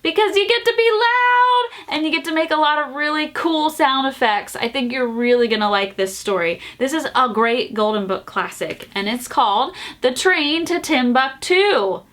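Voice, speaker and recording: A woman hosting a children's storytime, reading aloud in an animated, sing-song way with exaggerated emphasis and playful sound effects. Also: high voice, moderate pace, hardly any pauses. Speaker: storytime host reading a picture book aloud.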